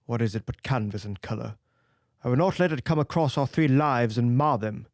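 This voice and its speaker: A man's voice: medium pitch, a little mellow, no room sound, clean studio sound, natural voice. A man voicing an earnest, wounded painter. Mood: grave. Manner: earnest, measured, grave. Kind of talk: audiobook character reading.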